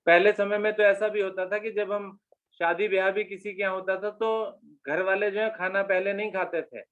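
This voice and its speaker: A man's voice: high voice, little pitch movement, normal speed. Polite man hosting a call chat. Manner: polite, prompting guests to share memories. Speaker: discussion host. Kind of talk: casual group chat on a call. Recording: dull lo-fi call audio.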